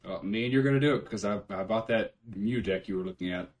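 A man's voice: on the low side, fast. A man hosting a casual podcast from home, swearing freely and laughing often. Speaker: podcast host. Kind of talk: casual chat.

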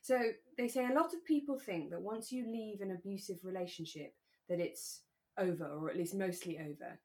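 Lower-pitched woman podcast host. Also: moderate pace, darkish tone. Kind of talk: relaxed discussion of a radio drama.

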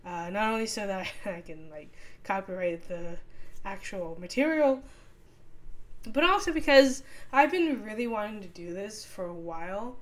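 A woman's voice: mid-range voice, expressive, moderate pace. A young woman hosting a casual solo podcast, talking with a sore throat and rambling through many fillers and restarts. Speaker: podcast host.

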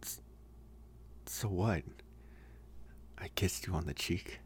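A young man playing a protective bully with a secretly tender side in an intimate ASMR roleplay, speaking softly and haltingly with gruff care. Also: deep voice. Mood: bashful, tender. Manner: halting, soft-spoken, gruffly caring.

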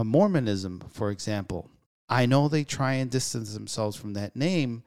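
A man's voice: medium pitch, a little mellow, clean tone. A man hosting a solo talk show, speaking earnestly in a musing, reflective way with frequent restarts. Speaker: podcast host.

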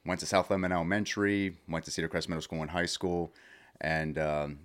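A man's voice: deep voice, level tone, a little fast. A man in his forties, a podcast guest recalling growing up, rambling with many restarts in casual studio chat. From Pennsylvania, USA. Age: 40s. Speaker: guest, future athletic director.

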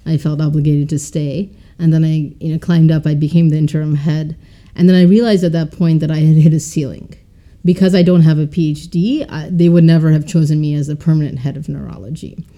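Woman talking slow and even, very dark mellow tone, composed. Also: sits low, muffled recording. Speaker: MBA student, former doctor. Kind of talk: interview answers.

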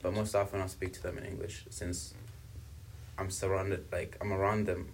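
A man's voice: low-pitched, little pitch movement, normal speed, a little hiss or hum, poor audio. A young man from South Africa whose first languages are Swahili and Kibembe, chatting casually as an interview guest. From South Africa, born in Congo. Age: young adult. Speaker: podcast guest, university student.

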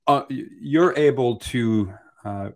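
A man giving unscripted advice as a mentor in a Q&A, speaking in a hesitant, halting way as he thinks aloud. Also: medium pitch, a little mellow.

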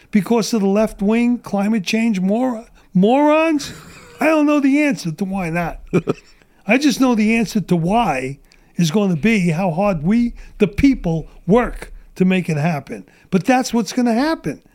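A middle-aged man with a high voice rants in a casual podcast chat, blunt and profane. His voice is wearing out and getting strained. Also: a little mellow. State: losing his voice. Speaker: guest.

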